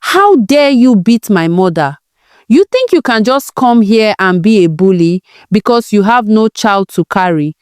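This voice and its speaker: A woman's voice: medium pitch, animated, unhurried, a little mellow, smooth, dry recording, close to an everyday voice. A woman acts out a bullying husband in a storybook drama. He is furious and domineering, with sharply varied intonation in a dry recording. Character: furious, bullying husband throwing his weight around.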